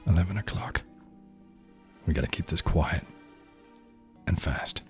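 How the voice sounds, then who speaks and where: An actor playing a cynical, hard-boiled male detective in an ASMR noir drama, speaking in a slow, brooding half-whisper. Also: faint music behind. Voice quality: very deep, husky, low murmur.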